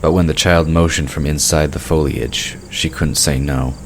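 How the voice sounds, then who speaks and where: A man's voice: extremely low pitch, fairly even intonation, normal speed. A man reading scripted third-person narration for a fantasy audio drama. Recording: clear background noise of night crickets.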